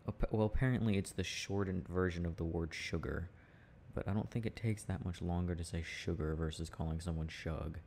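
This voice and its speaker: A relaxed, teasing boyfriend played by a man in an intimate audio roleplay. His voice sits low with a gravelly fry, and he muses haltingly and casually. Some ambient noise sits behind him. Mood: musing, amused. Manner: halting, musing, chuckling delivery. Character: teasing, easygoing boyfriend chatting with his partner.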